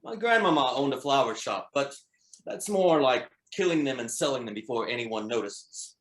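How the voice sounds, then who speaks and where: A man's voice: medium pitch, expressive, moderate pace, a bit bright, natural voice. A man at a screenplay table read playing a playful, flamboyant man with droll, theatrical delivery. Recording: echoey room.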